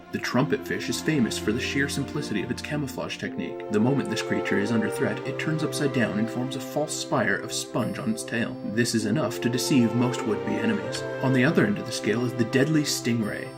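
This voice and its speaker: A man reading scripted narration as a narrator, with music behind his voice. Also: medium pitch.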